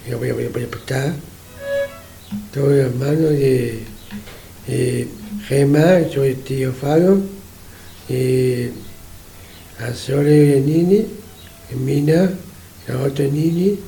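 A man on community radio speaking casually in halting, fragmented phrases. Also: medium pitch, gravelly, warm tone, reverberant, poor audio. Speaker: radio show participant. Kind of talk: casual greetings on air.

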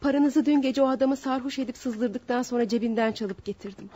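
Actress doing a lovestruck lady crook in an old radio play, soft dark husky voice, wistful and dramatic, muffled recording. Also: a little high, a little fast, slight background noise, close to an everyday voice. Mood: wistful, pained. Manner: wistful, dramatic, formal phrasing. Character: remorseful, lovestruck female swindler turned killer. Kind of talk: melodramatic radio theatre acting.

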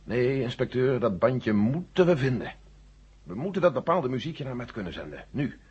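An actor playing a grave, urgent general with a husky voice in a 1970 science fiction radio drama. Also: male voice, medium pitch, sing-song, moderate pace, a little mellow. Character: grave, urgent male general directing a rescue. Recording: muffled old radio drama recording.

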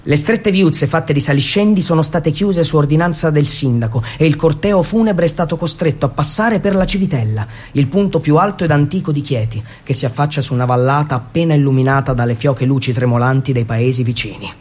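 A man narrating a scripted theatre piece in a solemn, measured, declamatory way. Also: on the high side, darkish tone. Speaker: actor and narrator.

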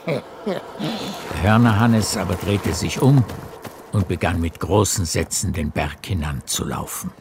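Deep, creaky male literary narrator. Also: background noise. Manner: measured storytelling. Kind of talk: literary scripted narration for radio play.

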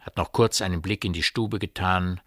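A man narrating a literary radio play in a grave, measured storytelling manner. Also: deep voice, steady tone, fairly bright tone, no room sound, clean studio sound. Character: grave omniscient male narrator of a village mystery.

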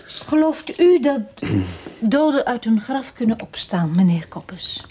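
An actress in a radio play playing a frightened, haunted widow, fragile and intense, with an anxious, breathy manner on a noisy recording. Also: female voice, fairly high pitch, animated, takes it slowly, dark tone. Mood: fearful, eerie. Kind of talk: radio drama acting.

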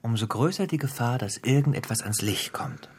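An actor playing a menacing, philosophizing crime boss in a German radio drama, theatrical and toying, often sinking into a breathy whisper. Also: male voice, medium pitch, moderate pace, darkish tone, slight background noise, lightly acted. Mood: cold threat. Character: menacing male crime boss, playful, philosophizing, coldly cruel.

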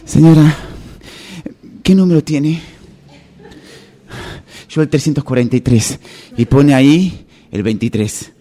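A man's voice: a little high, animated, takes it slowly, long pauses, mellow. A man with an Argentine accent playing an anxious, overwhelmed parent, fretting repetitively in an improvised radio theatre monologue. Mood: impatient, resigned. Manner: fretful, sighing, repetitive. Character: anxious, breathless, overwhelmed parent stuck waiting in line.

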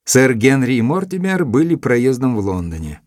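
An actor playing a detective's loyal companion, narrating his memoirs in a calm, measured, reflective way for an audio drama. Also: mid-range voice, dry recording, studio quality, close to an everyday voice. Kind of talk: audio drama, scripted first-person narration.

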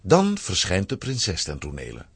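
A man narrating a scripted children's audio play as an expressive storyteller. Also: low-pitched, moderate pace, creaky, husky, no echo.

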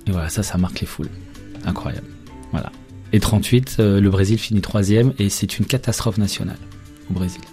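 Mellow, fairly low-voiced man, hesitant talker. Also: fairly quick, soft background music. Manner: hesitant, repeats words, colloquial. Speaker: interview guest, journalist who researched football history. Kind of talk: spontaneous radio interview.

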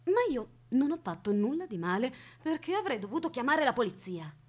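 A woman's voice: high voice, big pitch swings, a little fast, a little mellow. An actress playing an eccentric, dotty unmarried woman who keeps a captive, speaking with childlike certainty and coy formal politeness in a radio play. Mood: prim, evasive. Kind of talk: theatrical radio drama acting.

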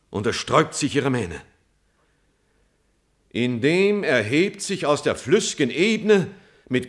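A grief-stricken messenger in a classical radio tragedy, a loyal friend of a fallen hero. His mid-pitched voice declaims the verse very deliberately and solemnly in standard German stage diction. Phrases are stretched out slowly for dramatic weight. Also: fairly bright tone, breathy edge, full character voice. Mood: grieving, hushed.

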